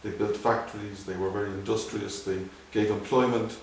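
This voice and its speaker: A middle-aged man with a Dublin Irish accent, answering interview questions in a halting way, with many restarts. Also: lowish voice, little pitch movement, vocal fry, a little hiss or hum. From Ireland. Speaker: guest, doctor. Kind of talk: conversational interview. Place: museum room. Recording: echoey room, from a distance.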